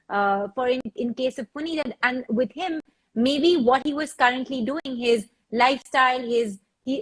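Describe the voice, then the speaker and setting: A woman's voice: high voice, husky, poor audio. A woman, explaining as a fitness trainer on a discussion panel, repeating words as she thinks aloud. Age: 40s.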